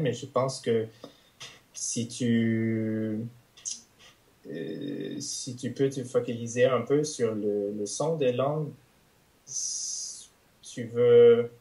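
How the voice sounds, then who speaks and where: A man's voice: mid-range voice, expressive, very slow, gravelly, long pauses, warm tone. A man with a Canadian French accent, a polyglot guest on a podcast, talking hesitantly with many restarts and fillers. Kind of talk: casual conversation.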